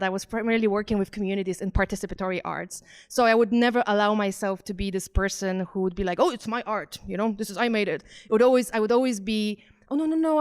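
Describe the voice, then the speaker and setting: A woman's voice: on the high side, clear, resonant tone. A woman from Poland with a Polish accent, giving an informal art presentation to an audience in a conversational, hesitant, self-questioning manner. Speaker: visual artist and researcher presenting her work.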